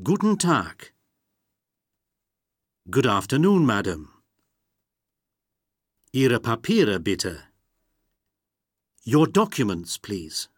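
A man reading phrases for a language course, slowly and deliberately, recorded close to the mic. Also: mid-range voice, wildly swooping pitch, breathy edge, no room sound. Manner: slow, deliberate, carefully spoken phrases.

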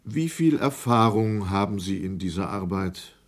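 A man's voice: lowish voice, animated, measured pace. An actor playing a courteous, official male committee chairman who examines witnesses in a German documentary radio drama. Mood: formal, brisk. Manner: official, crisp wording, courteous.

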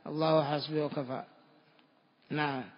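A man from Ethiopia teaching classical grammar, explaining slowly and restating each term before confirming it. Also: on the high side, little pitch movement. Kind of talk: classical Arabic grammar lesson. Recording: dull lo-fi recording with long hall reverb.

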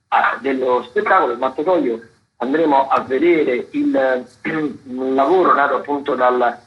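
A man hosting radio, presenting arts listings in a halting, repetitive way full of fillers. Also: on the high side. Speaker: radio host. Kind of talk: radio arts listings commentary, loosely read. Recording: dull lo-fi radio broadcast.

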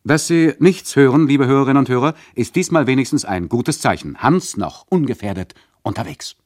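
An actor playing a mocking, bossy narrator of a satirical radio play, addressing listeners with mock solemnity and condescension. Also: male voice, medium pitch, expressive, brisk, a little mellow, dry recording, clean studio sound, close to an everyday voice.

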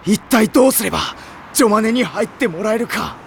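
A man's voice: high-pitched, animated, brisk, gravelly, slight background noise. A man playing a hot-blooded, melodramatic teenage volleyball boy in an anime audio drama, prone to bombastic outbursts. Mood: dejected, pleading.

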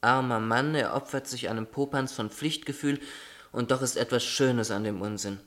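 A man's voice: medium pitch, moderate pace, fairly bright tone, close to an everyday voice. An actor playing a teasing, affectionate young man, an easygoing brother with a chatty, wry manner in a radio play. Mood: wry, reading aloud. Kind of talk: German radio play acting.

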